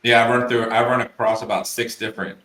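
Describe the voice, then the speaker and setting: A man's voice: mid-range voice, flat delivery, quick pace, pushed and bright, roomy sound. A man hosting a podcast, asking questions hesitantly with many fillers. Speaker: podcast host. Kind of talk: casual interview.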